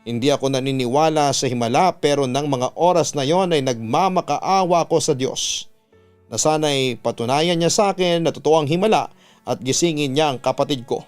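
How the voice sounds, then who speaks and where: Casting: male radio storyteller, fairly high, resonant voice, dramatic first-person narration, music underneath. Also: normal speed, clean tone. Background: background music.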